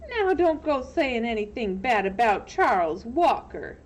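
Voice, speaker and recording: A woman voicing a drunken, lecherous male small-town sheriff with a slow, smug drawl in a storybook reading. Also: on the high side, sing-song. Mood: smug, patronising.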